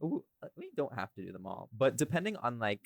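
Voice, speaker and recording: A man's voice: mid-range voice, sing-song, a little fast, creaky, no room sound. Irreverent man chatting, close dry sound. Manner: irreverent, swears casually. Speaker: podcast host and voice actor. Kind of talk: casual podcast chat, behind the scenes.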